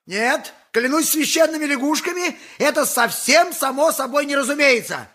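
A grumbling, pious donkey driver from a theatrical radio play. His voice is very high for a man, bright and swinging widely in pitch. He blusters and haggles like an aggrieved peasant. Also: lively intonation, normal speed, exaggerated voice. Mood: indignant, haggling.

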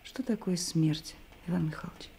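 A woman's voice: fairly low pitch, moderate pace, vocal fry, mellow, faint music behind. An actress playing a sensitive, anxious woman shyly in love in a Russian radio play, impulsive and emotional. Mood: wistful.

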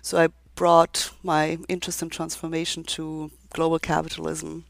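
Measured, gravelly woman author. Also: deep voice, monotone, dry recording. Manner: thoughtful, measured, frequent restarts. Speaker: author and legal scholar, interview guest. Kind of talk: conversational interview.

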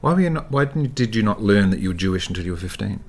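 Deep-voiced man hosting a sit-down interview, curious and encouraging. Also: animated, racing. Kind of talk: interview conversation.

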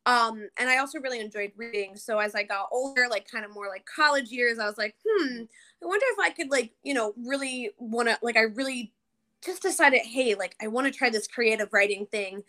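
A woman's voice: a little high, brisk, sharp tone. A woman in her early thirties from New Jersey, chatting casually and ramblingly as a podcast guest. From New Jersey, United States. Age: early 30s. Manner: rambling, informal, frequent "like". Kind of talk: casual interview chat.

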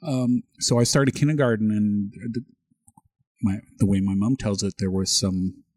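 A middle-aged man from Canada, raised speaking French, chatting casually as a podcast co-host. His voice sits low and slightly husky, recorded dry and close. He often stammers a word or two before each sentence gets going. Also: steady tone, a little mellow, no room sound. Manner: halting, repeats words when starting sentences.

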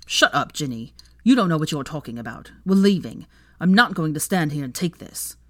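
A woman's voice: low-pitched, animated, moderate pace, no echo, clean studio sound. Woman reading as a bitter, stubborn, emphatic man. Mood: defiant. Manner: nasty, emphatic. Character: bitter, stubborn, sneering man, estranged husband and father. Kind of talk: dramatised fan fiction reading.

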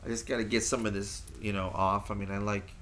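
A man's voice: fairly low pitch, little pitch movement, quick pace, a bit bright, a little hiss or hum. A man co-hosting a casual podcast, with a nasal voice and a laid-back, rambling way of talking. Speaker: podcast co-host. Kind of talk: casual chat.

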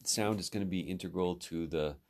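Man, mentor type, extremely low voice, steady and considered feedback. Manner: measured and advising, with ums and restarts. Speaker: instructor giving feedback. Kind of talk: advisory conversation.

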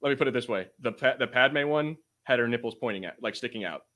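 A man co-hosting a podcast, telling stories in a casual, animated, self-deprecating way with some swearing. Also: mid-range voice, quick pace. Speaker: podcast co-host. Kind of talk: casual banter, storytelling.

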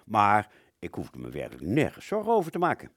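A man's voice: on the low side, wildly swooping pitch, a little fast, no room sound, studio quality. A man playing a rambling, agitated wartime fighter pilot who relives battle in a stammering, dramatic radio play monologue. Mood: dreamy, recollecting.

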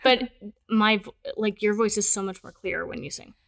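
A woman's voice: medium pitch, moderate pace, gravelly, fairly bright tone. A woman hosting a podcast, asking curious questions in a casual chat. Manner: curious, asks questions, trails off. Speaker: podcast host.

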